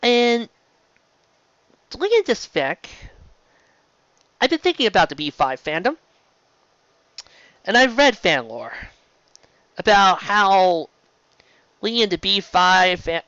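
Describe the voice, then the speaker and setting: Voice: mid-range voice, lively intonation, takes it slowly, long pauses, bright, forceful tone, clean tone, no echo, lo-fi sound. A show host giving a casual, rambling fan review in a gushing, emphatic way, often tripping over words and restarting. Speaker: host of a fan fiction live-read show.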